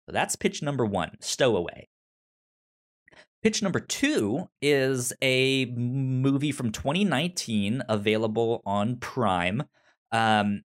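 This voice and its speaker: A man with a pronounced stutter, casually pitching films as a podcast host in a halting, informal way. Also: fairly bright tone. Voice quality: pronounced stutter with repeated initial sounds. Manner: halting, informal, hesitant phrasing.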